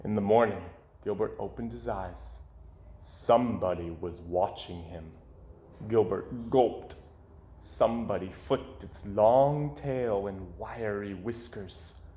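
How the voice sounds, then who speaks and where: A man reading a children's storybook aloud in an expressive style, with dramatic pauses and drawn-out words. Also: fairly low pitch. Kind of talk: expressive read-aloud narration. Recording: roomy, echoing indoor sound.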